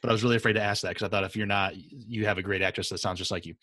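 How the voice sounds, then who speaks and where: A man's voice: lowish voice, fairly even intonation, racing, sharp tone, no echo, studio quality. A man interviewing casually as a podcast host, with an enthusiastic, effusive manner.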